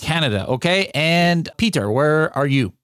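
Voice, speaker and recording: A man's voice: fairly high pitch, expressive, normal speed, a bit bright, no echo. A man hosting a podcast, upbeat and joking, often tripping over his words as he asks questions. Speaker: podcast host. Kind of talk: casual podcast interview.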